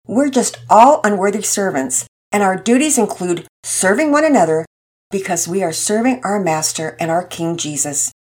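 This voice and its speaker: A woman voicing a gentle father in a storybook, speaking patiently and earnestly to his son. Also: mid-range voice, animated, moderate pace, husky.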